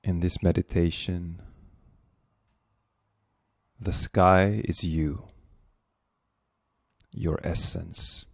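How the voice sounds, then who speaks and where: A man leading a guided meditation as the guide, speaking gently and unhurriedly with long pauses. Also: sits low, flat delivery, creaky, no echo, clean studio sound.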